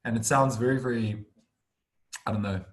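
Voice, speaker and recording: A man's voice: medium pitch, fairly even intonation, moderate pace. A man in his thirties from South Africa with a South African accent, a firm director telling his story fluently on a webinar. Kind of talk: webinar conversation.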